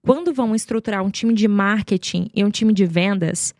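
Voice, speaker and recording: A woman's voice: mid-range voice, normal speed, darkish tone, dry recording, clean studio sound. A woman tells stories as an interview guest, talkative and emphatic, often posing rhetorical questions. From Goiânia, Brazil. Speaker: podcast guest, marketing executive. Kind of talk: conversational interview storytelling.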